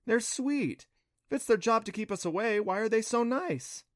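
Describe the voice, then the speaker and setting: A man's voice: sits high, expressive, moderate pace, a bit bright, no echo, clean studio sound, pitched above the performer's own voice. A man voicing a sweet, plucky young girl in an audiobook, with a lilting, cooing and teasing way of speaking. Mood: puzzled. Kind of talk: audiobook character voice.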